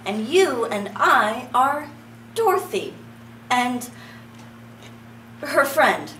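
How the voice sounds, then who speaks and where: A woman playing a bossy, playful, no-nonsense friend in a script reading, deliberate and commanding. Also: high-pitched, expressive, bright, forceful tone, exaggerated voice. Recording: echoey room, distant mic, faint noise.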